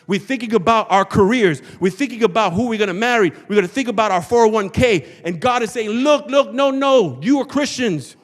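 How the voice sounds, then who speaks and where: A man with a slightly high voice preaches a sermon as a pastor. He is emphatic and rhetorical, repeating phrases for weight. His words ring with long reverb in a big church hall. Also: fairly quick, few pauses. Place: large church hall, before a congregation.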